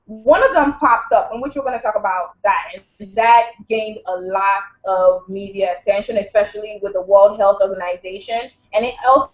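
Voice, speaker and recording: A woman's voice: medium pitch, moderate pace, hardly any pauses. A Nigerian-born woman co-hosting a casual chat, rambling and joking as she talks. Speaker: co-host. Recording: echoey room, dull lo-fi sound.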